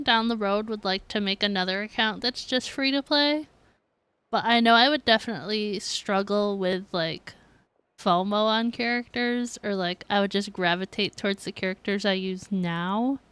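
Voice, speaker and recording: Smooth-voiced woman streaming. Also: medium pitch, normal speed, bright, forceful tone, dry recording. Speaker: game streamer. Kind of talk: casual chat with stream viewers. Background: game music.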